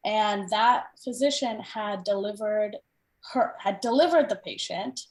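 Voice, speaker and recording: A woman's voice: medium pitch, a little slow. A young woman from India, a medical student, chatting casually in an interview with lots of fillers and an upbeat tone. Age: young adult. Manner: hesitant, filler-heavy, upbeat.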